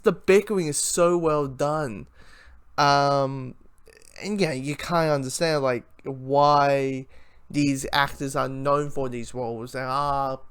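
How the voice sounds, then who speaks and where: Male reviewer, smooth and slightly high voice, casual unscripted delivery, rambling with lots of stop-start phrasing. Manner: rambling, halting, with repeated words and false starts. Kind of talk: casual, unscripted fan review to camera or mic.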